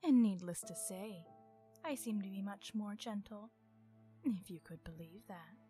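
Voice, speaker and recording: A woman voicing a centuries-old vampire in an ASMR roleplay, stern, irritated and possessive, with a measured, formal and often hushed delivery. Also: medium pitch, faint music behind, natural voice. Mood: stern, composed.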